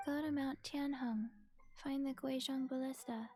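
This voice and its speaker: A woman voicing a small, forgetful zombie girl in a video game, speaking in flat, terse, halting sentences. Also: high voice, faint music behind. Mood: deadpan, sleepy.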